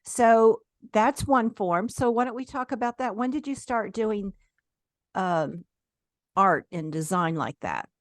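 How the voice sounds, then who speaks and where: A woman's voice: medium pitch, takes it slowly, dry recording, clean studio sound. A woman hosting a podcast, introducing a guest and asking friendly, encouraging questions. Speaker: podcast host. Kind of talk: podcast interview.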